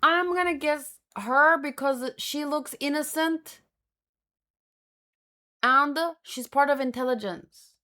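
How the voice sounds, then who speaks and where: Slow-paced, higher-voiced woman thinking aloud. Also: pushed and bright. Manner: musing, hesitant, repeats words. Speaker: host of a manga read-along. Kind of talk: casual reaction commentary, thinking aloud.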